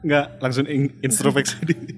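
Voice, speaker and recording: A young man co-hosts a podcast in loose, friendly chat. He has a Jakarta Indonesian accent and drops in slang. His voice sits at an ordinary middle pitch, and he breaks into laughter often. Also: flat delivery, normal speed, soft background music. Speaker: podcast co-host.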